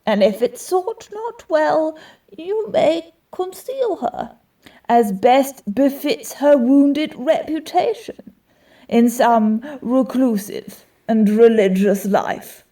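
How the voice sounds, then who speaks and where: A woman playing a calm, compassionate friar in a Shakespearean radio play, giving measured, solemn and persuasive counsel. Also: high voice, heavily acted. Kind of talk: Shakespearean radio drama acting. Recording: home recording, slightly distant mic.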